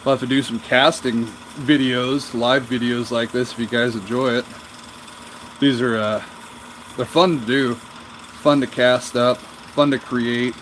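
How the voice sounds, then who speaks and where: A man's voice: medium pitch, flat delivery, measured pace, a bit bright, a little hiss or hum. A man with a nasal voice, a woodturner chatting casually and rambling while live-streaming from his cold workshop. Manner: rambling and chatty, answers viewer comments. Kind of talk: casual livestream chat.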